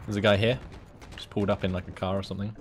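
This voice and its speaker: A man's voice: fairly low pitch, level tone, rapid-fire, soft background music. A man in his twenties giving casual, slangy commentary and chatting with a friend while he plays a game. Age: 20s. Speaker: gamer recording gameplay with a friend. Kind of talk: casual gaming chat and live commentary. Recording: clear gunfire and vehicle game noise behind. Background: war video game sounds.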